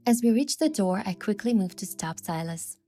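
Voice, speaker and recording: A woman's voice: medium pitch, expressive, normal speed, dark tone. A woman reading a scripted first-person story as narrator, with acted lines of dialogue. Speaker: narrator and voice dubber of a game story. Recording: music behind the voice.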